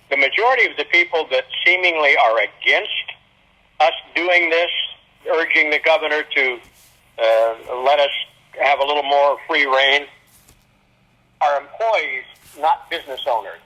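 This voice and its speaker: A man with a husky voice speaking as the chair of a public meeting. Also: on the high side, pushed and bright. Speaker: board chair. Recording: dull, muffled teleconference audio.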